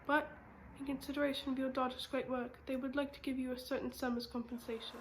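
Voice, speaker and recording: A woman's voice: high-pitched, level tone, quick pace, background noise, lightly acted. A young woman in an amateur stage play acting a formal, apologetic company messenger with a stiff manner. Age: young adult. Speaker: student actor.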